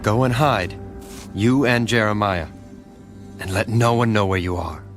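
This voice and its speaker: A protective prince in an audio Bible drama. His lowish voice is firm and urgent, with gentle music underneath. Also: measured pace. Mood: urgent warning.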